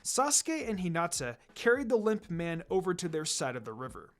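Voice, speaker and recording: A man's voice: high voice, sing-song, moderate pace. A man reading fan fiction aloud as a dramatic scripted narration. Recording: music under the voice. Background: background music.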